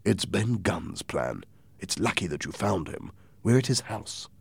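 A man voicing a calm, principled doctor in a storybook reading. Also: low-pitched, unhurried, vocal fry, breathy edge, no room sound, heavily acted. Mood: low, serious.

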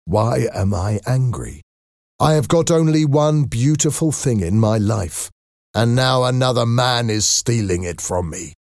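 A protective, angry father, performed by a male narrator, forceful and full of disbelief. His pitch rises and swings dramatically, in a dry recording suited to a storybook. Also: lively intonation, normal speed, creaky, slightly rough, dry recording, exaggerated voice. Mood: angry, hurt. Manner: incredulous, forceful, emotional. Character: angry, protective, anxious father of a grown daughter.

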